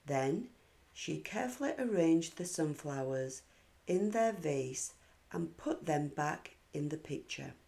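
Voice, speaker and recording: Female children's read-aloud narrator: expressive, unhurried, low-toned, telling a story to little kids. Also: gravelly. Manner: measured, animated storytelling for young children.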